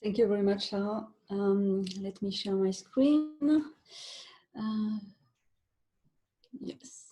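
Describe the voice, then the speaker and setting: French-accented woman giving a halting webinar talk. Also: mid-range voice, dark tone. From France. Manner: halting, many fillers and restarts. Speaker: conference speaker. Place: home.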